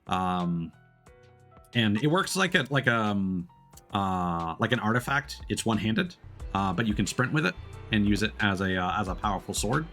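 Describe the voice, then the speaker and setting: A man chatting casually while live streaming a game, explaining things in a rambling, halting way. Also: on the low side, lively intonation, fairly bright tone, soft background music. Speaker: live streamer playing games. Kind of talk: casual chat while gaming.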